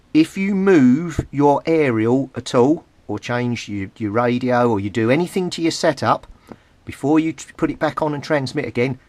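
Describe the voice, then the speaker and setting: A British man giving an informal, hands-on tutorial, explaining things step by step and repeating the key points. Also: lowish voice. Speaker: CB radio hobbyist giving a tutorial. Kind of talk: informal hands-on instructional talk.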